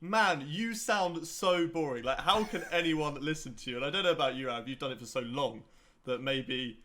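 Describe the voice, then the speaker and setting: A man's voice: fairly high pitch, moderate pace, bright, forceful tone. A young man hosting a podcast in a casual, teasing, jokey way. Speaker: podcast host.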